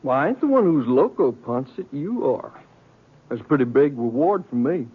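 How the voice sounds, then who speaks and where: An actor playing a stubborn, vain Western outlaw with a needling, folksy rustic drawl in a 1950s radio western. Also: male voice, mid-range voice, sing-song, moderate pace, husky, phone-quality sound. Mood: sly. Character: sly, stubborn, vain wounded male outlaw. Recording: thin, band-limited old radio recording.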